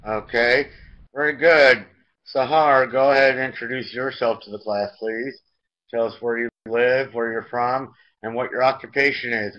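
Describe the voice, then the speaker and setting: An American man teaching an online language class, instructional and repeating himself as he goes. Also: medium pitch. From United States. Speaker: English teacher. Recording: dull, lo-fi online call audio.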